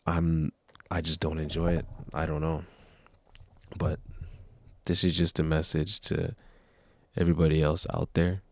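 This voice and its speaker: A man's voice: very deep voice, level tone, measured pace, pauses often, no room sound. A nineteen-year-old man talking casually to camera, giving earnest, rambling motivational advice. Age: young adult. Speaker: vlogger.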